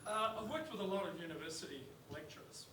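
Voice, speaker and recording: A man in an auditorium audience speaking loudly and deliberately, sharing a view. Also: high voice, lively intonation, a crowd in the background. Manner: loud, measured, deliberate. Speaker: audience member. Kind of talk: audience comment. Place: large auditorium. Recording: roomy, distant hall pickup.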